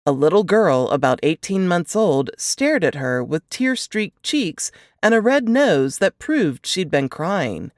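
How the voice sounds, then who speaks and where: A woman reading scripted fiction aloud in an expressive audiobook narration style. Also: lowish voice, slow, few pauses, no echo, studio quality.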